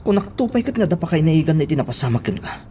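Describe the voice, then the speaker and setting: A worried hot-tempered young man, radio drama, big pitch swings, music behind. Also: very animated, racing, mellow. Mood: angry. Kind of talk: Ilocano radio drama acting.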